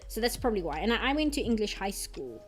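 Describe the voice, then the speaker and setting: A young woman with a South African accent and an Afrikaans background chats in a rambling, casual way while she draws, now and then dropping into quiet muttering as she works. Her voice sits at a middle pitch for a woman. Soft music plays faintly in the background. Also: big pitch swings, fast. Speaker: artist streamer. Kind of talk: casual chat while drawing on stream.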